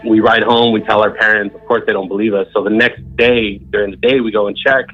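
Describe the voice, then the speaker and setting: Thirty-something male caller, low voice, casual rambling storyteller, muffled phone audio. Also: fairly even intonation, fast, a bit bright. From Southern California. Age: 30s. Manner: laid-back, rambling with restarts and fillers. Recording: muffled phone line with faint music.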